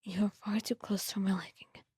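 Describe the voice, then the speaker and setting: A woman voicing a quiet, protective young swordsman who hides his worry, in a slow, breathy ASMR roleplay whisper. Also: mid-range voice, fairly even intonation, dry recording. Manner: slow, understated, tender. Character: quiet, blank-faced, protective young swordsman, secretly worried.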